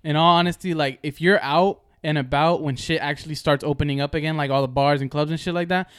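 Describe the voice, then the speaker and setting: A man's voice: on the high side, steady tone, fast, smooth, dry recording, studio quality. A young man co-hosting a casual podcast, talking bluntly with plenty of slang and swearing. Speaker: podcast co-host. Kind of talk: casual chat.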